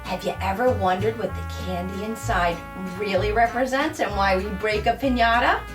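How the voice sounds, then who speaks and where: A woman's voice: medium pitch, moderate pace. A woman presenting a scripted introduction to a children's story, addressing the listeners with questions. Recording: slightly distant mic, music behind. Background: music.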